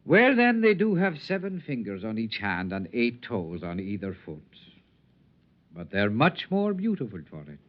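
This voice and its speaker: An actor playing a whimsical, lonely tramp in an old-time radio drama, speaking in a florid, lilting, poetic way. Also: male voice, on the low side, huge pitch swings, moderate pace. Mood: tender, persuasive. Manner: lilting, florid, theatrical speech. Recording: dull vintage radio recording.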